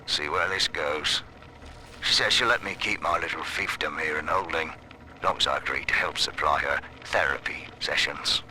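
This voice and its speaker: Wry prison boss audio diary, super low forceful voice, bit of hiss. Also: male voice, moderate pace, full character voice, low-quality recording. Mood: smug, wry. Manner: wry, callous. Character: cynical, self-serving prison boss, slyly amused. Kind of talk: video game audio diary monologue.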